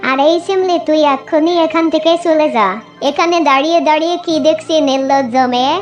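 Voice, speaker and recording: Cartoon fox villager, acted: extremely high pitch, emphatic theatrical delivery for children's animation, soft music underneath. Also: brisk, natural voice. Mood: scornful. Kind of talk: Bengali children's cartoon dubbing.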